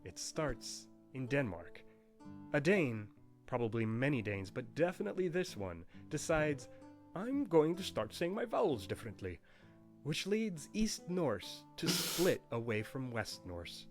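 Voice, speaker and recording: A man's voice: medium pitch, big pitch swings, unhurried. A man narrating a scripted history of a language in a playful, wry storytelling manner. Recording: narration with faint background music.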